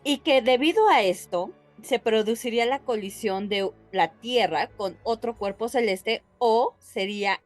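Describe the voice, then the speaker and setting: A woman's voice: a little high, a little slow, few pauses, soft background music. A woman co-hosting a podcast, casually telling stories to her co-hosts in a playful, colloquial way. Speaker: podcast co-host.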